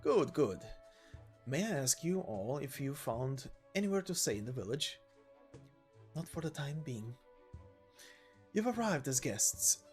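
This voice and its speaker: A man plays a hospitable village elder in a video game story. He speaks formally and deliberately, with halting phrases and expressive rises and falls. Gentle music sits behind the voice. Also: mid-range voice. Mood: welcoming.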